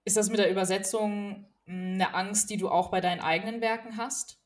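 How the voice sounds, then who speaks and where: A woman's voice: mid-range voice, fairly even intonation, brisk. A woman hosting a podcast interview in a casual, conversational style. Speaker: podcast host.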